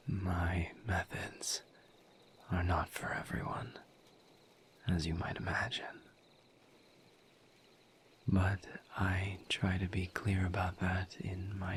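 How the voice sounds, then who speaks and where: Man voicing a very slow, low, whispering demon. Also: slight background noise. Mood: calm, measured. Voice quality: breathy, mostly whispered. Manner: slow, deliberate, halting with long pauses. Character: gentle, patient male misery demon offering solemn comfort. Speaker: audio roleplay voice actor. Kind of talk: intimate whispered audio roleplay.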